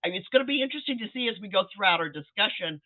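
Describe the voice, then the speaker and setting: An enthusiastic man hosts an online panel and hands the floor from guest to guest. His voice is high for a man. It comes through a narrow, tinny video-call line. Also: animated, very fast. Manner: upbeat, enthusiastic. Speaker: panel moderator.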